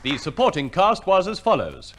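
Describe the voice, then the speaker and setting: A man's voice: high-pitched, huge pitch swings, moderate pace, a loud crowd, very noisy. A man reading scripted announcements as a formal, polished 1950s radio compere, on an old recording.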